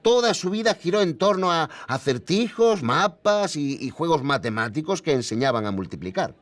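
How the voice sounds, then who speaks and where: Male actor as literal-minded Spanish expert, lively intonation, halting and measured, audio documentary, dry close recording. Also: fairly high pitch, no echo, lightly acted. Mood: cautious, factual. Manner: hesitant, measured, stammering repeats.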